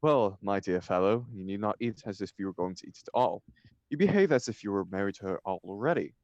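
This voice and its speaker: A man's voice: on the low side, animated, a little fast, a little mellow, dry recording, muffled recording. A man reading the part of a flippant, idle upper-class bachelor in a stage comedy, with an arch, mock-serious declamatory manner. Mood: teasing, indignant.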